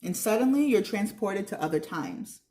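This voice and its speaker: A woman's voice: mid-range voice, big pitch swings, normal speed, dark tone, lightly acted. A kindly, wise handyman from a storybook, read by a woman, passes on history. He explains patiently and earnestly, encouraging the listener to look closer.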